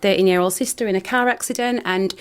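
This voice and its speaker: A woman's voice: medium pitch, quick pace. A woman with a Northern English accent, a radio studio guest speaking earnestly about her work, often restarting her sentences. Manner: earnest, hesitant with many restarts. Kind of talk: radio interview.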